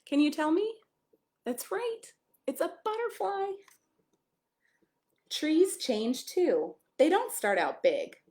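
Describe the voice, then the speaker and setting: Woman, storytime pastor, highly animated pitch, expressive read-aloud, chatty asides and questions for little kids. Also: slow, pauses often. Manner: expressive, addresses young children with questions and exclamations. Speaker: pastor reading a children's story. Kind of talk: children's picture-book read-aloud with friendly asides.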